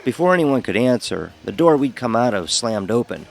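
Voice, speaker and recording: An actor playing a young college pledge, narrating a first-person horror story in a wry, conversational way. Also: male voice, medium pitch, sing-song, moderate pace, creaky, faint music behind. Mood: descriptive, wry. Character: young male college pledge recounting his hazing.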